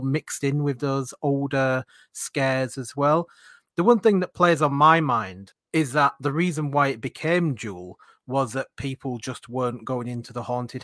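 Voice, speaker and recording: A man's voice: medium pitch, a bit bright, no echo, studio quality. A man hosting a casual podcast in a chatty, jokey manner. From northern England. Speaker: podcast host.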